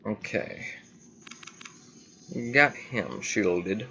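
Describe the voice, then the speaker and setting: A man's voice: fairly low pitch, animated, bright, forceful tone, lo-fi sound, slight background noise. A man giving casual, playful gameplay commentary, talking to himself as he plays. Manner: playful, talks to himself, drawn-out exclamations. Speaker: gaming video host.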